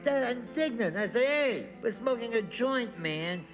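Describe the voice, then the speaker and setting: A man casually telling an animated anecdote. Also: extremely high pitch, measured pace. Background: music.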